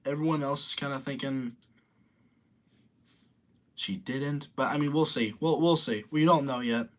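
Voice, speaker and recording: A man's voice: mid-range voice, unhurried. A young man in his late teens, casually rambling through commentary and reading viewer comments aloud. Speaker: streamer reading chat.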